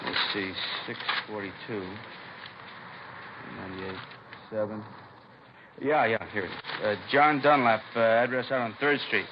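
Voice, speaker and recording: A man's voice: fairly low pitch, a little slow, pauses often, lightly acted. An American man playing a chatty, helpful laundry shopkeeper in a 1950s radio drama, hesitant and rambling as he talks. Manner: hesitant, rambling, mumbling to himself. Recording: noisy, muffled vintage radio recording.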